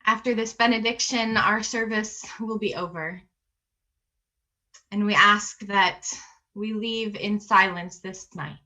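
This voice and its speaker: Female worship leader for a solemn benediction: bright, forceful tone, slow measured cadence. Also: mid-range voice. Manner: measured, with repeated cadenced phrases. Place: online video call.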